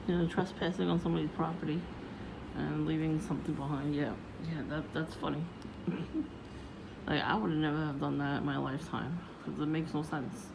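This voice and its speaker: A woman vlogging casually about her day in a low voice, meandering with many fillers and restarts. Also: fairly even intonation, fairly quick, gravelly. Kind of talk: casual rambling vlog. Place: outdoors, windy. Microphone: phone. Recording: noisy phone recording.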